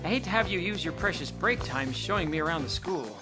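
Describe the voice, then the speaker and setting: A polite, easily flustered young man from an anime dub, played by an actor. His intonation jumps around as he earnestly protests, and loud music plays behind him. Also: mid-range voice, lively intonation, fast talker, lightly acted. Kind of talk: English anime dub acting.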